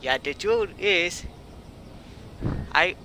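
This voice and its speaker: A male game streamer speaking halting non-native English in casual live commentary over a windy, uncovered microphone. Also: high-pitched, lively intonation. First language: not English. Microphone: microphone without its cover.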